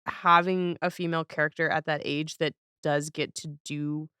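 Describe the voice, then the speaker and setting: A woman's voice: deep voice, flat delivery, moderate pace, sharp tone, clear, resonant tone, no room sound, studio quality. A woman hosting a casual interview, asking questions in a curious, informal, tentative way. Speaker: podcast host, interviewer.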